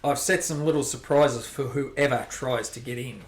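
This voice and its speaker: A man's voice: mid-range voice, normal speed, slight background noise, natural voice. A man playing a confident veteran smuggler. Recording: echoey amateur recording.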